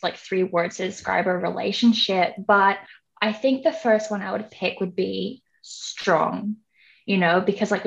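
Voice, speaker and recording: A woman's voice: medium pitch, gravelly. Young woman, Hong Kong English accent, giggly guest chatter, slightly dull audio. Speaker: podcast guest. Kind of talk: casual interview chat.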